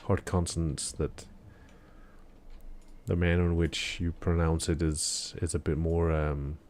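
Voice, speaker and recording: A man chats with his stream viewers early in the morning while he plays, still not quite awake. His voice sits very low and barely moves in pitch. He rambles and hesitates, restarting sentences and leaning on fillers. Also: little pitch movement, measured pace, a little mellow. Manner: chatting with viewers. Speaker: live streamer. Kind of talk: casual live-stream chat while gaming.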